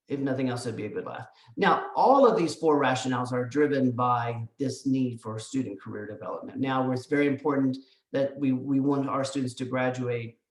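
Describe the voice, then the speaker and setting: A man with a mid-pitched voice delivers an academic talk. He explains in a conversational way, adding asides and posing rhetorical questions. The sound is roomy and echoey, as if the microphone sits some way from him. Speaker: presenter, education abroad specialist. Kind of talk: academic presentation, semi-scripted lecture. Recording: roomy echo, distant microphone.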